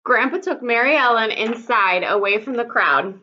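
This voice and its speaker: A woman's voice: on the high side, normal speed, pushed and bright. A woman reading a children's story aloud expressively, like a teacher, in slightly dull audio.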